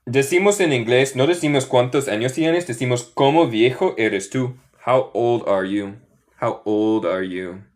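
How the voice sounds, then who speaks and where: American man teaching in halting Spanish. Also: medium pitch. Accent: American English. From Michigan, USA. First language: English. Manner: halting learner's Spanish with fillers and English switches. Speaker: English teacher. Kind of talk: casual livestream lesson.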